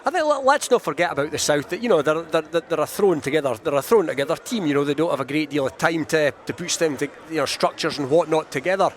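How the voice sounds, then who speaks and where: Rugby pundit guy, highish voice, keeps stumbling back over his words. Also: lively intonation, fast, few pauses. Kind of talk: live post-match analysis.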